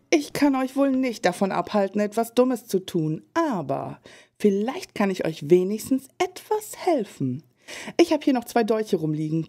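A woman voicing Shirley, a mysterious older medium in an audio drama, speaking in slow, halting visions. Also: medium pitch, warm tone. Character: mysterious older female fortune teller. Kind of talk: German fan audio drama.

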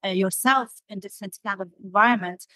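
Woman, thoughtful interview guest, hesitant phrasing, mid pitch, dry recording. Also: fast talker, sharp tone, no echo. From Damascus, Syria. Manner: hesitant, searching for words. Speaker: podcast guest, designer.

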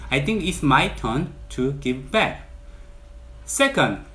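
A man in his forties with a Korean accent speaks straight to camera, earnest and measured. His pitch sits in an ordinary middle register, and he pushes up on the words he wants to stress. The sound is clean, recorded indoors in a bright room. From South Korea. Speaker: realtor. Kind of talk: direct-to-camera channel introduction.

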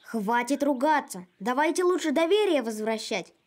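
An actor voicing a sensible, earnest young boy in an animated series, reasoning and encouraging like a peacemaker. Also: high-pitched, normal speed.

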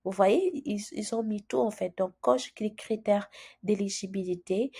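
A woman walks viewers through a website in a relaxed, chatty way, pausing often and restarting her sentences. She has a cold and keeps her voice low, so it comes out husky. Her tone is mellow and dark rather than bright. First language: French. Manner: chatty, hesitant with frequent fillers and restarts. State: has a cold, speaking softly. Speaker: immigration channel host. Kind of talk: screen-share tutorial, casual explaining.

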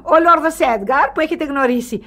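A woman's voice: high-pitched, fairly bright tone. An actress in a radio play, playing a whimsical, bored aristocratic lady in her sixties with a theatrical, effusive manner. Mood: delighted, gushing.

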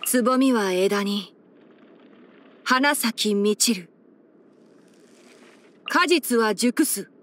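A young male forest ranger chief with fox ears for an anime-style game. The voice is fairly high, light and boyish. He speaks in a measured, articulate way, clever and gentle with a soft wryness. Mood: calm, solemn. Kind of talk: Japanese game character voice-over.

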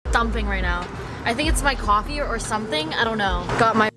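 A woman's voice: a little high, expressive, a little fast. Chatty young North American woman vlogging, music behind. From Canada. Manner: slangy, candid, self-deprecating. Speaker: vlogger, high school student. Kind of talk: casual chatty daily vlog. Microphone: handheld camera at arm's length.

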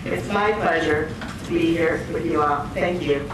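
A woman's voice: low voice, a little slow, heavy background noise. An older woman introducing panelists at a lectern, formal and gracious. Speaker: event host introducing panelists. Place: conference room, at a lectern. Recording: echoey room, distant mic, busy crowd.

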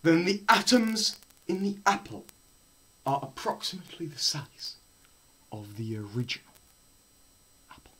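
Melodramatic young man, wildly swinging pitch. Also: sing-song, unhurried, long pauses, rough recording. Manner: melodramatic delivery swinging from hushed murmurs to loud cries. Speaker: presenter performing a dramatised physics lecture. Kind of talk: theatrical scripted lecture reading. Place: small plain room indoors.

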